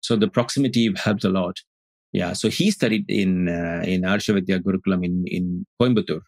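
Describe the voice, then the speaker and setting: Gravelly low-voiced man on a video call. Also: fairly even intonation, darkish tone, no room sound. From Singapore. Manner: thoughtful, halting with many restarts. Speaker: guest, Advaita Vedanta teacher. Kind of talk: casual interview talk. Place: indoor room on video call.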